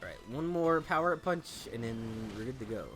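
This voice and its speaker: A man giving joking gameplay commentary, animated and peppered with "like". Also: mid-range voice, a little fast, soft background music. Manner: animated, joking, heavy use of "like". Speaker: let's play host.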